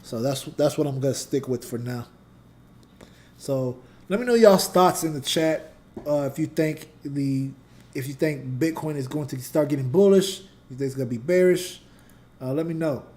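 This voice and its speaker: A man with a slightly high yet mellow, warm voice talks through market charts as a live host. He keeps it loose and conversational, addressing his chat directly. His voice has a slight scratchiness to it. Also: normal speed. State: voice getting scratchy. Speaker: trading channel host and teacher. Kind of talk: casual live chart analysis.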